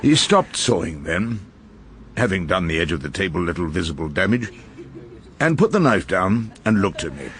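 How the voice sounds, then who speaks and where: A man playing a wry, irascible old barrister in a radio drama, with an English accent and an unhurried, ironic delivery. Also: deep voice, big pitch swings, a crowd in the background. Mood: wry narration. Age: older. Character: irascible, wry old male barrister narrating his cases. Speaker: barrister and narrator. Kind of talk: radio drama dialogue and first-person narration.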